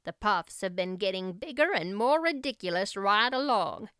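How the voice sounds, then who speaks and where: A stern middle-aged spinster, as a woman narrator plays her, stiff and thrifty. Her clear voice scolds drily in a close, echo-free storybook recording. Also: medium pitch, animated, moderate pace, fairly bright tone, close to an everyday voice. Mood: scolding, exasperated.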